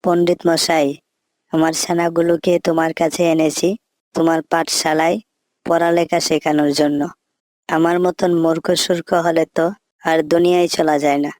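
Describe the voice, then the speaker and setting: Woman acting cartoon crocodile parent, low voice, earnest, simple-minded, dry close sound. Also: flat delivery, moderate pace, darkish tone, no room sound, close to an everyday voice. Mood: polite, hopeful. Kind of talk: children's cartoon fable voice acting.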